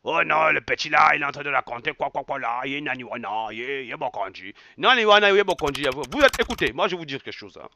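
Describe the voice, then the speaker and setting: A man's voice: on the high side, lively intonation, fast, creaky, few pauses, pushed and bright, no echo. A man from Congo, delivering a heated evening rant to his viewers. He repeats his points angrily and slips local words into his talk. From Republic of the Congo. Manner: angry, emphatic, repeats phrases, drops Lingala words. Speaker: online commentator.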